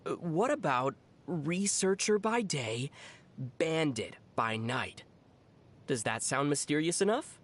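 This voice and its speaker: An actor voicing a calm, teasing young adventurer in a video game, with a dry, knowing manner. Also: medium pitch, takes it slowly. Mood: wry. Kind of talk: video game character voice acting.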